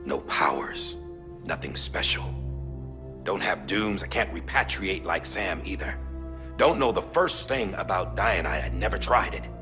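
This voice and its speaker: An American man playing a weary middle-aged government director and haunted veteran, making a grave, halting confession in a game cutscene. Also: sits low, lively intonation. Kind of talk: dramatic video game cutscene monologue. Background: dramatic music.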